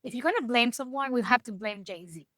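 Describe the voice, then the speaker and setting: A woman's voice: a little high, brisk, dry recording, studio quality. Middle-aged Ecuadorian Spanish-accented woman. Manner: lively, searches for English words. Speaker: guest musician. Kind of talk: casual interview chat.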